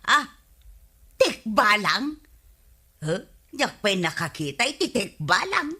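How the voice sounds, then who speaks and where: An actress playing a curious, gossipy village neighbour with an Ilocano Filipino accent, animated and inquisitive, in a radio comedy drama. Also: female voice, medium pitch, takes it slowly, breathy edge, full character voice.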